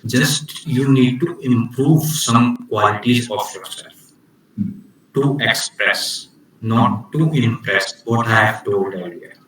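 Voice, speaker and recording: A man presenting an online club orientation on communication skills, speaking haltingly with many restarts and fillers. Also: medium pitch, fairly bright tone, echoey room, far from the mic, a little hiss or hum, poor audio. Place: video call.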